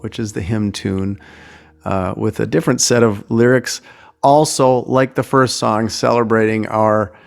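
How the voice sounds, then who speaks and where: An American man, a singer-songwriter, casually introducing a song in a hesitant, unassuming and halting way. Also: fairly low pitch, vocal fry. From Minnesota, United States. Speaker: singer-songwriter, guest performer.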